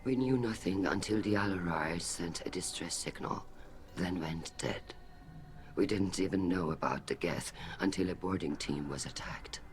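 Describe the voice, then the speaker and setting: An actor playing an older alien admiral in a video game, grave, guarded and measured. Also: male voice, low voice, close to an everyday voice. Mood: somber, reflective. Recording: clear background noise.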